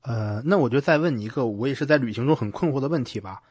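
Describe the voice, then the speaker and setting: A man's voice: medium pitch, expressive, fast talker, darkish tone, husky, no room sound. A man hosting a casual interview, asking wordy, informal questions and checking back as he goes. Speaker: podcast host, interviewer.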